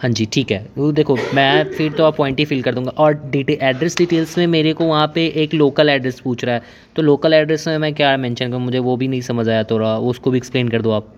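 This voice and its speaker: A man's voice: mid-range voice, fairly even intonation, fast, a little hiss or hum. A man in his twenties on a phone call as a customer, hesitant and rambling, with many fillers. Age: 20s. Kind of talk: casual phone conversation. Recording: lo-fi phone line.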